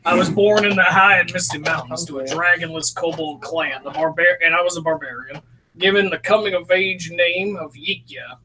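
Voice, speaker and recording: A man voicing a brash male kobold barbarian with a put-on deep southern drawl, talking boisterously in a live improv role-play. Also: moderate pace, a crowd in the background, natural voice, low-quality recording. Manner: boisterous, laughing, rambling.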